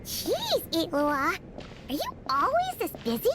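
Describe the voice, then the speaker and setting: A woman's voice: extremely high pitch, wildly swooping pitch, a little slow, loud music behind the voice, exaggerated voice. A woman voicing a small, cute, childlike fairy companion in a video game, chirpy and curious. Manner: exclaims, refers to herself in third person. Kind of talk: video game character dialogue.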